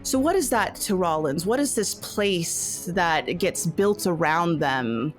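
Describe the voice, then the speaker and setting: Slow, low-pitched woman narrating as game master. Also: animated. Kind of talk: slow, atmospheric improvised tabletop narration. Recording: music under the voice. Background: background music.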